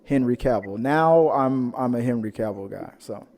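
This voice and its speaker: Mellow man hosting in a reverberant space. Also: medium pitch, animated, moderate pace. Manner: rambling, repeats words and phrases. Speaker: host of a panel show. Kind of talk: casual chat about audience polls. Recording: long echoey hall reverb.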